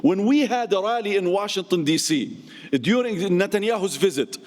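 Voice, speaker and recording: A man's voice: expressive, moderate pace, a little mellow. Middle-aged guy giving a fired-up speech in a big echoey mosque hall, keeps repeating himself for emphasis. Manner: passionate, emphatic, with repeated phrases and big pitch swings. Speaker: community speaker and activist. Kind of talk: impassioned public speech. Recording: long reverb of a large hall.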